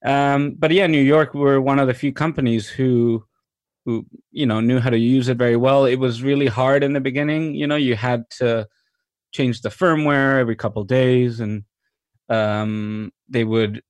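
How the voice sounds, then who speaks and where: A man's voice: medium pitch, steady tone, moderate pace, dry recording. Mexican-born man chatting in a dry studio. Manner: thoughtful, many fillers and restarts, enthusiastic. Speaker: cinematographer guest. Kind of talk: relaxed interview conversation.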